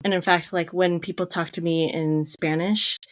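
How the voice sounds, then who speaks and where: A woman's voice: lowish voice, steady tone, normal speed, no room sound, clean studio sound. A young woman from Connecticut co-hosting a book club podcast, chatting casually with lots of "like" fillers and easy laughter. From Connecticut, USA. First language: English is her third language. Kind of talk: casual chat.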